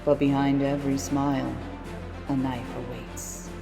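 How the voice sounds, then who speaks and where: A ruthless royal matriarch , played by a woman, delivers ominous fantasy narration with portentous weight. Her very low voice moves slowly through a long, echoing hall with music underneath. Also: little pitch movement, gravelly, heavily acted. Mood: ominous, imperious. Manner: portentous, deliberate. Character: ruthless, commanding mother of a young queen.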